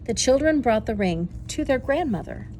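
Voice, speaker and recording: A woman reading a children's story aloud in an expressive, deliberate teacher's way. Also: fairly high pitch, slight background noise.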